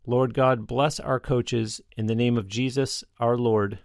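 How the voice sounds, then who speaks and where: Gravelly-voiced radio host, dry studio sound. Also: male voice, mid-range voice, steady tone, a little slow, dry recording. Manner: affable, leads prayer. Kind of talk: interview and closing prayer.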